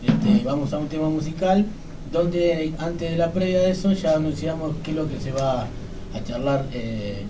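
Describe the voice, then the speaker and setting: A man's voice: on the high side, normal speed. An Argentine man giving casual interview answers as a radio sports commentator, hesitant, repeating words and often adding verbal tags. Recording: noisy room, distant microphone.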